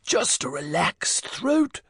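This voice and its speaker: A man voicing a hoarse, ailing gentleman calling from his bed, croaking out reassurances. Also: very high voice, very slow, no echo, studio quality, pitched above the performer's own voice, exaggerated voice. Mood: reassuring.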